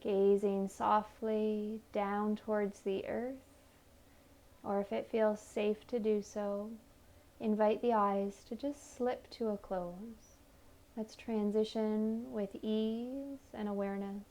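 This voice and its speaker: A woman leading a guided meditation in a soothing, gentle manner, offering unhurried invitations. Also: medium pitch, little pitch movement. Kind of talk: guided meditation, body scan narration.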